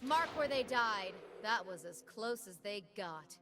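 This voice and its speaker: An actress playing a proud, solemn warrior queen of an icy tribe in a video game, speaking in deliberate, commanding, dramatic lines. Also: medium pitch, expressive, music in the background, lightly acted. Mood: cold, menacing.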